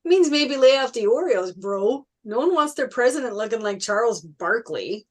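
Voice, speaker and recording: An actor playing a teasing, jokey brother in a naturalistic family drama table read. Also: male voice, extremely high pitch, expressive, normal speed. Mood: mocking.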